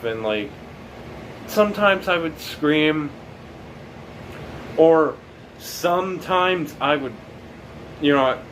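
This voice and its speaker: A young man in his late teens talking casually about his life, rambling and halting, with many fillers and restarts. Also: medium pitch, fairly bright tone, slight background noise, rough recording. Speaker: documentary subject. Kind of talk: casual reflective monologue.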